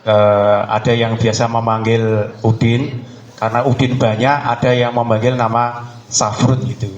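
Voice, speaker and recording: A man gives a casual self-introduction in short broken phrases. He talks slowly in a medium-pitched voice, with strong room echo. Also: level tone, muffled recording. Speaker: participant introducing himself to a group. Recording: echoey room.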